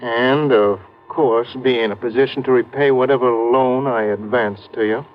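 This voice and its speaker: A man plays a cagey racketeer in a classic radio crime show. He is oily and formally polite, choosing words with guarded pauses. The recording is dull and dated. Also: mid-range voice, steady tone, a little hiss or hum, lightly acted. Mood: oily politeness. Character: smooth, cagey male crime boss fronting as lender. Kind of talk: 1940s-50s radio crime acting. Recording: muffled old radio recording.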